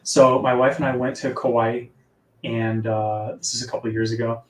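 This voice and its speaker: A man with a soft, sleepy-sounding voice, chatting casually as an author guest in a live interview. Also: mid-range voice, little pitch movement, moderate pace. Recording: echoey room, distant from mic.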